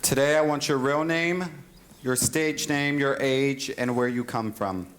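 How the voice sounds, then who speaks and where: Woman playing a brisk, low-voiced stage director. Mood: curt, businesslike. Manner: terse, commanding. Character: brisk, businesslike stage director running a dancers' audition. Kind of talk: stage musical acting. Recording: noisy live stage recording with clicks.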